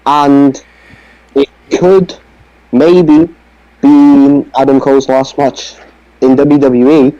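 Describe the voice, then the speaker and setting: A man's voice: medium pitch, level tone, slow pace, long pauses, mellow, no room sound, dull audio. A man on a podcast panel giving blunt, opinionated takes in casual sports chat.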